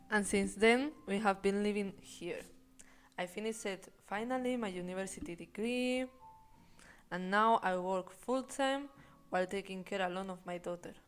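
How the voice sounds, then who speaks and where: Woman in her 20s, raised in Spain; earnest, steady read of a personal story, mid pitch. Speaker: podcast co-host. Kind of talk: reading a self-introduction.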